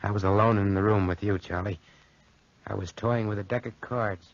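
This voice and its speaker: An actor playing a smug, crude young card cheat who taunts in cocky slang, in a 1940s radio horror drama. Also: male voice, fairly low pitch, steady tone, normal speed, a little hiss or hum, close to an everyday voice. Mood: cool, offhand. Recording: muffled 1940s radio broadcast recording.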